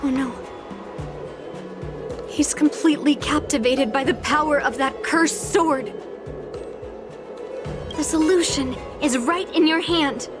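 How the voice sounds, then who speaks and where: A stubborn young sword hunter from a video game. She has a high voice and talks slowly and bluntly, giving commands and challenges, with music clearly behind her. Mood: exasperated, determined. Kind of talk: video game character acting.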